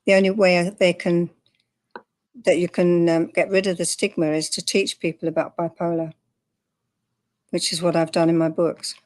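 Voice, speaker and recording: Older woman, low-ish voice, calm matter-of-fact guest in a chat. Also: moderate pace, long pauses. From England. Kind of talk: candid personal interview.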